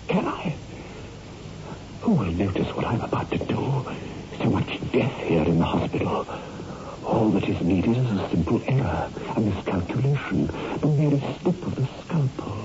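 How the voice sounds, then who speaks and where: An actor playing a tormented married doctor driven toward murder, halting and melodramatic, in 1960s radio horror style. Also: male voice, fairly low pitch, very animated. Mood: brooding, tormented. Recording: muffled, noisy old radio recording.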